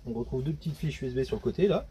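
A man's voice: medium pitch, animated, rapid-fire, dark tone, slight background noise. A man, giving a casual product walkthrough inside a small camper van. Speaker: camper van dealer presenting a vehicle.

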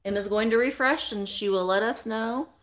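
A woman's voice: on the high side, moderate pace. A woman in her forties hosting a live vintage sale from a small room, chatting animatedly at her webcam and greeting viewers by name. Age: 40s. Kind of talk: chatty live selling stream. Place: small indoor room full of vintage decor. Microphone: webcam at desk, close framing.

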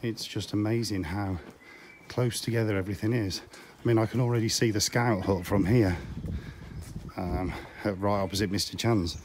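Hoarse middle-aged male vlogger, low-voiced, chatty and rambling, phone camera outdoors. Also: fairly even intonation, normal speed, a little mellow, a little hiss or hum. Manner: rambling, chatty, with frequent ums. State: hoarse, losing his voice from a cold. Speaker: vlogger touring filming locations. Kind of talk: casual walking tour chat. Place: outdoors on small town streets.

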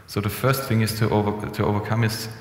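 A young man giving a relaxed, conversational conference talk with frequent restarts and fillers, speaking into a headset mic in a conference room. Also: lowish voice, fast talker. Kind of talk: conference presentation. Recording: echoey hall with long reverb.

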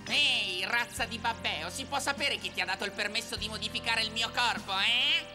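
A woman's voice: on the high side, moderate pace, over music, natural voice. Woman playing a brash, irritable cyborg tomcat. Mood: indignant. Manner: rude, indignant. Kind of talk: Italian cartoon dub acting.

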